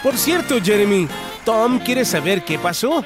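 A man's voice: high voice, very animated, unhurried, over music. A man narrating a preschool cartoon, talking to the characters with encouraging exclamations and questions.

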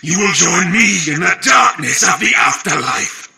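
A menacing undead sorcerer from a fantasy video game. The voice is very harsh and forceful, with heavy gravel and a slightly raised pitch for a male. Every phrase is theatrical and full of threat. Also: expressive, a little slow, heavily acted. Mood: menacing, booming.

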